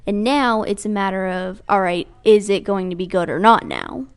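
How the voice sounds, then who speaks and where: A woman's voice: medium pitch, very animated, normal speed, clear, resonant tone. A woman co-hosting a gaming podcast in casual chat, thoughtful and a little hesitant, with fillers. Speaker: podcast co-host.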